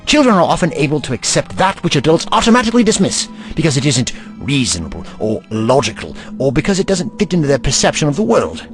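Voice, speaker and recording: An actor playing an eccentric, kindly and curious investigator in an audio drama, pensive and courtly in manner. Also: male voice, fairly high pitch, big pitch swings, fast, hardly any pauses, soft background music. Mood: intrigued.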